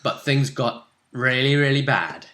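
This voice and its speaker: A man's voice: medium pitch, animated, measured pace, pushed and bright. A man chatting casually as game master and host, recapping a tabletop role-playing session.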